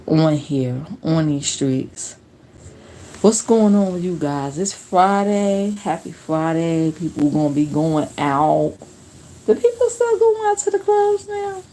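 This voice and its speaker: A woman in her late forties chatting casually on a live stream, telling stories in a relaxed, slangy way. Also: fairly low pitch. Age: 40s. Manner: relaxed storytelling with slang and laughs.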